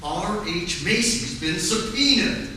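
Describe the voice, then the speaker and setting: An exasperated, defensive prosecutor acted by a man in a vintage radio play. The voice is high and very forceful, insisting on each point. It comes from some way off in a heavily echoing hall. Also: lively intonation, takes it slowly, heavily acted. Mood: irritated. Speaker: community theatre actor. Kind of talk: 1940s radio play acting. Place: community theatre stage performance. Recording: echoey hall, distant mic, faint noise.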